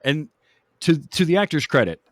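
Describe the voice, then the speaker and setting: A man hosting a casual film discussion in a conversational, measured, agreeable way. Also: fairly high pitch, sing-song, dry recording. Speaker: film podcast host.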